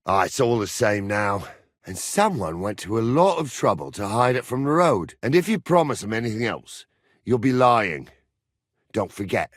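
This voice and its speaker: A gruff medieval captain from a video game, speaks in blunt, rustic, teasing phrases. His medium-pitched voice swings expressively up and down with cocky swagger. The sound is close and dry. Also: fairly bright tone, no echo. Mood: stern, matter-of-fact. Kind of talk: video game character dialogue lines.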